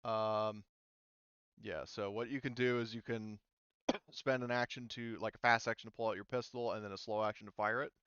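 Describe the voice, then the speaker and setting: A man's voice: lowish voice, steady tone, normal speed, a bit bright, smooth, dry recording, dull audio. A man speaking casually as a game master, explaining rules and thinking aloud in a hesitant, informal way.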